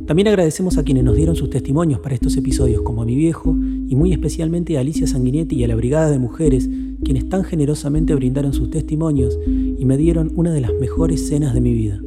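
Male narrator, slightly high voice, solemn reflective read, reverberant sound with a music bed. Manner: measured, solemn and lyrical. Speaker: podcast host and narrator.

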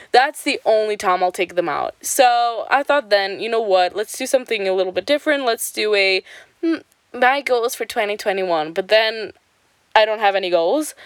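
A woman's voice: mid-range voice, clean tone, no echo. A young woman hosting a casual podcast while sick and stuffy, talking with a slight lisp in a rambling, profane way. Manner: slight lisp from swollen tongue. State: stuffy, sick with swollen tongue. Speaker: podcast host. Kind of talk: casual solo podcast chat. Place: home at night.

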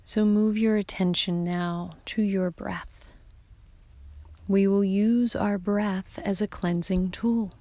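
A woman guiding a yoga nidra meditation in a soft, hushed, half-whispered voice, giving calm, soothing instructions. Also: mid-range voice, slow pace, no room sound. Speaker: meditation guide.

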